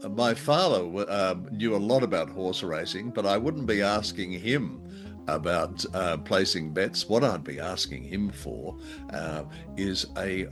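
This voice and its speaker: A middle-aged man co-hosting a podcast in casual chat, speaking in a hesitant, halting way. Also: lowish voice. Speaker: podcast co-host. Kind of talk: casual scripted-topic chat.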